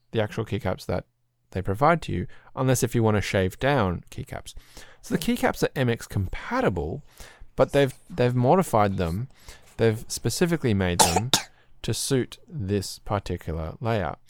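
A man hosting a podcast, chatting casually and rambling a little, clearing his throat often, his voice slightly throaty. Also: medium pitch, no room sound, studio quality. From Australia. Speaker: podcast host. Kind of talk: casual chat about keyboards.

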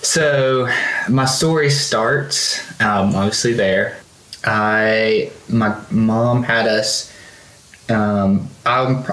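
A man from Russia, a podcast guest, telling his life story in a relaxed, rambling way with many fillers and restarts. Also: lowish voice. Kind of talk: casual storytelling chat. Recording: roomy echo.

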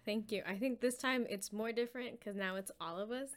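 A woman's voice: fairly high pitch, fairly quick. A young woman who produces the podcast, talking in an upbeat way and saying "like" often. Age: young adult. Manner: upbeat, uses "like" a lot. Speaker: student coordinator and podcast producer. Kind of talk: casual podcast chat.

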